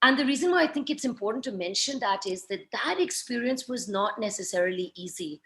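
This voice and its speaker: Reflective woman from Pakistan, higher voice. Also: animated, fairly quick, fairly bright tone. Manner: thoughtful, reflective, with many "you know" fillers. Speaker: author and guest. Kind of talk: conversational interview.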